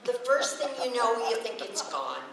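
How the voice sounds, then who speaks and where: An actress playing a steady, homely wise older mother in an old-time radio soap, with an American accent and an earnest, plain-spoken manner. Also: fairly high pitch, moderate pace, gravelly. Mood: gently reflective. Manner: homespun, earnest, expressive. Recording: echoey, distant, hissy old radio broadcast.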